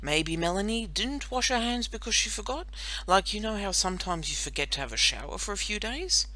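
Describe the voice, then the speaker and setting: A woman's voice: medium pitch, lively intonation, brisk, bright, forceful tone, pitched above the performer's own voice. A woman voicing a well-meaning, awkward schoolboy in a children's audiobook, hesitant and fumbling as he thinks aloud. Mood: tentative.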